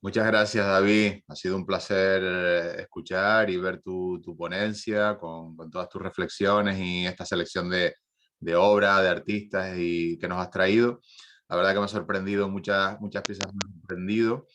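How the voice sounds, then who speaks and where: A man moderating a conference Q&A, asking long, halting questions full of searching pauses. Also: low voice, level tone, fairly bright tone.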